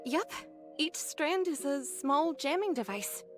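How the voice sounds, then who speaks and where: A friendly female soldier in an anime game. Her voice sits very high, and she talks in an easygoing, level-headed way while music plays clearly behind her. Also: animated, takes it slowly. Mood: calm, reassuring. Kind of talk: scripted anime game voice acting.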